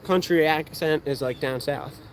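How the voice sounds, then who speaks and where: A man's voice: on the high side, measured pace. An eighteen-year-old man with a Pennsylvania accent, chatting casually on a livestream and answering viewer questions while he shoots archery outdoors. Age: young adult. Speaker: outdoors channel host. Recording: faint outdoor background noise.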